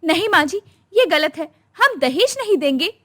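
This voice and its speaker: Woman acting as young modern bahu, very high pitch, animated, earnest, outspoken, caring, family story. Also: normal speed, heavily acted. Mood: defiant.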